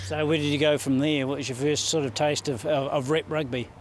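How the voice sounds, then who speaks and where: A man's voice: a little high, steady tone, fairly quick, a little hiss or hum. A man with a New Zealand accent working as a sports interviewer, putting questions in a casual, conversational style.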